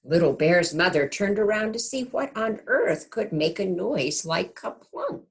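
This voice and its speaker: A woman reading a storybook aloud to young children in an expressive teacher's manner, pausing to check in with the listeners. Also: mid-range voice, creaky.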